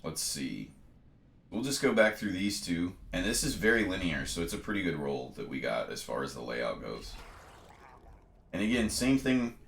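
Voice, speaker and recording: A man's voice: low voice, big pitch swings, moderate pace, gravelly, a bit bright, reverberant. A congested man methodically explaining a video game as he plays, talking through each choice with frequent ums. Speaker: let's play host. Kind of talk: casual gameplay commentary and tutorial.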